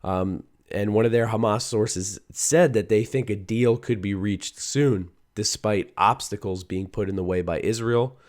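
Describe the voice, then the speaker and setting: A man hosting a news show, talking through the day's stories in a conversational way with a hoarse, worn-out voice. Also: fairly low pitch, unhurried, hardly any pauses, darkish tone. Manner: conversational, reads quotes aloud, some restarts. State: hoarse, voice a little shot. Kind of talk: solo news commentary.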